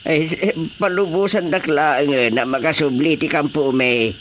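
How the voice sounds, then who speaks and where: Managbuteng, agbeddal a balud a lalaki. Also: male voice, a little high, unhurried, a bit bright, a little hiss or hum. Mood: flustered, pleading. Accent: Ilocano. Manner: stammering, groaning. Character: cowardly, whining captive man, flustered and pleading. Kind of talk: Ilocano radio drama comedy acting.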